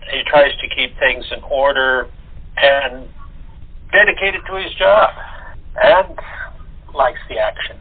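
A man chatting as an interview guest in halting phrases, over a tinny, muffled phone line. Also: medium pitch, slightly rough. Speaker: voice actor guest. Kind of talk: casual interview chat.

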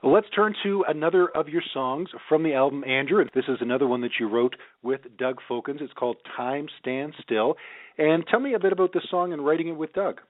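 A man's voice: fairly high pitch, brisk, no echo. A man hosting a radio music interview, asking questions and introducing songs. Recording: dry, phone-like narrow band sound.

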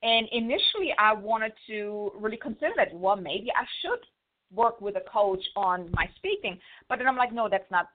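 A woman with a German accent, an author talking fast and stumbling over words as an interview guest. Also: mid-range voice, animated. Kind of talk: casual interview chat. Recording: phone-line audio.

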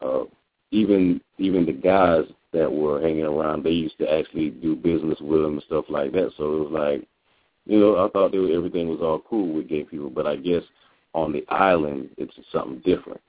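American male co-host with a very deep voice, halting casual delivery, dry tinny phone audio. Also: dry recording. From United States. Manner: halting, filler-heavy storytelling. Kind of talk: casual call-in radio talk.

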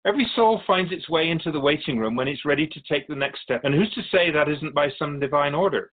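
An otherworldly male spirit played by a man speaks as a guest in a radio drama. He is calm and explanatory, curious about human speech, with a lively, varied pitch. The recording is a little dull and lo-fi. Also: fast talker. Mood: explanatory, playful.

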